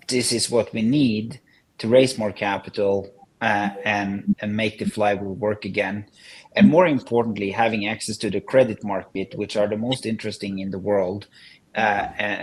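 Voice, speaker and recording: Low, husky man, halting delivery, panel answer. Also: rough recording. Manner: halting, with frequent restarts and fillers. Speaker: company executive on a panel. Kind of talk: live Q&A panel talk.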